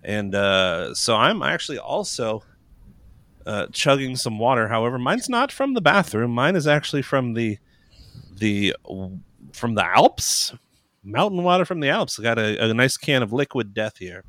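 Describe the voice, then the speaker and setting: Lively bright-voiced man. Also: medium pitch, moderate pace, no echo. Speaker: panelist. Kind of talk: casual podcast chat.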